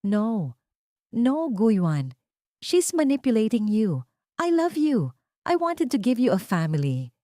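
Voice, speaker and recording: A desperate mistress acted by a woman narrator in an audio story, pleading in a raised pitch. The delivery swings emotionally and is recorded close and dry. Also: very animated, slow, mellow, no room sound. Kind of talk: dramatic audio story dialogue.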